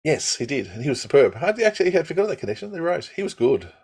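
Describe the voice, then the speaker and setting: Middle-aged man, slightly husky voice. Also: fairly high pitch, sing-song, a little mellow. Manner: halting, thinking aloud. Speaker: podcast co-host. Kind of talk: casual fan chat. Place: small room at home, indoors.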